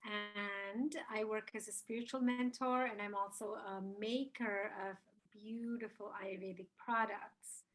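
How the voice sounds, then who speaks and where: A woman in her fifties from India speaks as a guest on a video call. She talks about her work in a thoughtful, earnest manner, and her pitch sits in a middle range. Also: fairly even intonation, measured pace, poor audio. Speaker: guest, spiritual mentor. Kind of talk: interview conversation.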